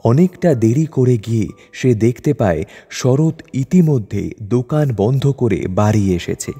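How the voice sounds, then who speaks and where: A man's voice: medium pitch, expressive, a little slow, dark tone, slightly rough. A man narrating a literary short story from a script. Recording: long reverb over music bed.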